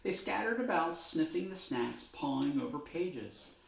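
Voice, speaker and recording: An unhurried man narrates a children's picture book with expressive emphasis. His voice has a creaky, gravelly edge and sits at a middling pitch, recorded in a roomy, echoing space. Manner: expressive, drawn-out phrasing with emphasis. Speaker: storyteller. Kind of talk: read-aloud children's storybook narration.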